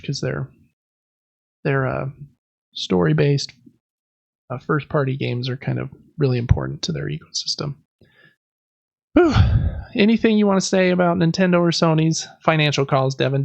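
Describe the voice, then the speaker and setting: A man with a slightly high voice and a crackly fry runs through news as a podcast host. His delivery is informal and conversational, like casual commentary among friends. The audio is a little dull and lo-fi.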